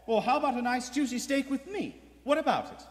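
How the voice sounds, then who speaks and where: A man's voice: extremely high pitch, lively intonation, a little fast. A man playing a jaunty, charming greengrocer in a stage radio play. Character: Ted, jaunty, charming young male greengrocer detective. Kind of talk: vintage radio play acting. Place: theater stage before a live audience. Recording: echoey theater stage, music behind.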